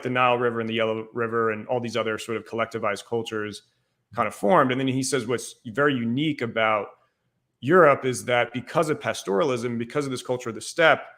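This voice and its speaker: Man from ireland, young adult, casual podcast guest, middle pitch, loose rambling delivery, lots of fillers. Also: a bit bright. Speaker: guest historian.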